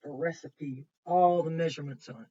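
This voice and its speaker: A woman's voice: low-pitched, a little fast, warm tone, breathy edge. Distant, muffled woman teacher reading aloud. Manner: simple explanatory phrasing, pointing things out, repeating and asking questions. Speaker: kindergarten teacher. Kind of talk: reading a picture book aloud to children with explanatory asides.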